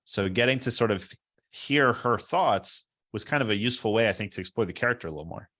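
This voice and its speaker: A man's voice: fairly low pitch, fast talker, fairly bright tone. An American man hosting a casual interview, talking in a reflective, explanatory way. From Baltimore, US East Coast. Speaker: podcast host, producer.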